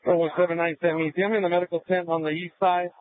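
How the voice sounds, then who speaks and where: A male emergency responder speaks fast, a little high in pitch. His reports come over a dry, muffled police radio. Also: level tone, dry recording. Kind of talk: radio transmissions.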